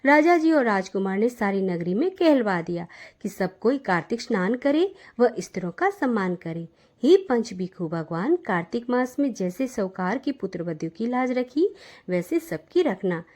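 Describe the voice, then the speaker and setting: A woman narrating devotional folk tales in an expressive storytelling delivery. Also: on the high side.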